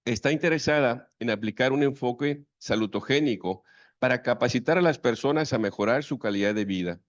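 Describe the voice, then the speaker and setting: A man moderating a session, reading out a speaker's biography in a formal, measured way. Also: medium pitch, dry recording. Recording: dull dry sound.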